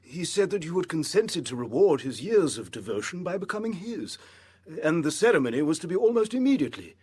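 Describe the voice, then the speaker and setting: An actor playing a fussy, obsequious, gossipy broker in a period audio drama, fawning and hesitant. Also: male voice, fairly high pitch, sing-song, brisk, a little mellow, husky. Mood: flustered, chatty.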